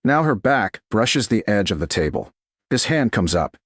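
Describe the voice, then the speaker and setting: A man's voice: fairly low pitch, very animated, normal speed, fairly bright tone, no echo, studio quality. A man narrating a romance story as a scripted audiobook.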